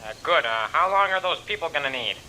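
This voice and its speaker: Stern park boss in audio drama, super sharp bright voice, halting and official, slightly noisy. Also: male voice, a little high, expressive. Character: stern, cautious male park service boss.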